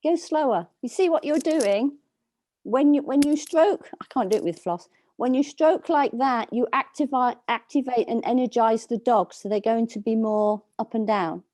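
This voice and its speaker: A woman dog trainer giving slow, soothing instructions over a video call, with a calming voice. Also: fairly high pitch, no room sound. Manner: soft, slow, soothing coaching. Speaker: dog trainer leading a workshop.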